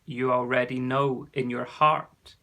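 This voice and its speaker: A man's voice: mid-range voice, level tone, a little slow, vocal fry, sharp tone. A man voicing a wise, mysterious warrior guide in a storybook, solemn and commanding.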